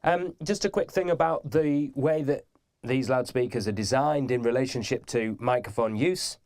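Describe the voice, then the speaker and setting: A man's voice: mid-range voice, normal speed, darkish tone, no echo. A man giving an informal step-by-step video tutorial in a methodical, instructive manner.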